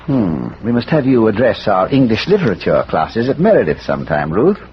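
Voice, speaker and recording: An actor playing an erudite schoolmaster turned amateur detective in 1940s radio drama, polite, hesitant and dryly witty. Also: male voice, lowish voice, big pitch swings, brisk. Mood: wry. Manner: polite, hesitant, wry, learned. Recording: noisy muffled vintage radio recording.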